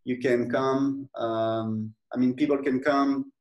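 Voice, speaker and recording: A man with a French accent, a software company owner speaking in a casual interview, hesitant, with many fillers and restarts. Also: mid-range voice, steady tone, slow, reverberant, dull audio. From France.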